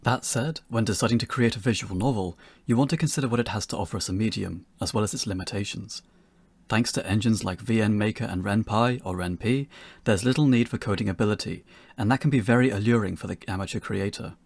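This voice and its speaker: A man's voice: lowish voice, fast talker, slightly rough, no echo. A man co-presenting a scripted, pre-recorded instructional talk as an amateur developer.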